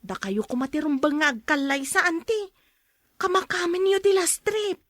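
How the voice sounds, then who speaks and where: An actress playing a cheeky young adult niece in a radio drama, teasing and nagging with an Ilocano accent. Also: female voice, very high-pitched, normal speed, fairly bright tone, no room sound, studio quality. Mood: playful, nagging.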